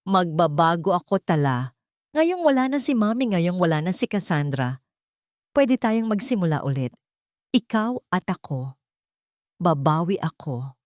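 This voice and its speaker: A woman's voice: lowish voice, big pitch swings, a little slow, long pauses, darkish tone, no room sound, studio quality. A Filipino woman voicing a cowardly rich husband who pleads tearfully in an audio romance story. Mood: desperate pleading.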